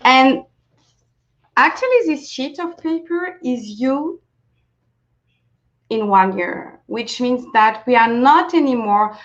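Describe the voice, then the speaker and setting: A woman from France with a French accent, a native French speaker, leading an interactive coaching webinar in a gently encouraging way and thanking participants for sharing. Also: sits high, animated, slow, long pauses. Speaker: self-leadership coach. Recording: lo-fi webinar audio.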